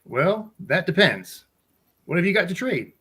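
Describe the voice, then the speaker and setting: A man acts out an Old West stable hand in an amateur radio drama, haggling over horses. The voice sits fairly high for a man and sounds a little off the microphone. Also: very animated, a little slow, sharp tone, husky, heavily acted. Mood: folksy, bargaining. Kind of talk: amateur radio play acting.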